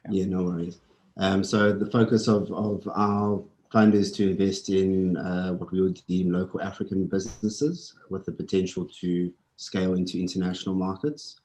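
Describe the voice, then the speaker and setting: Male fund partner on a call; low, level, mellow voice; steady and relaxed. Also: moderate pace, poor audio. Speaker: managing partner of a venture fund. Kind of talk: self-introduction on an online panel.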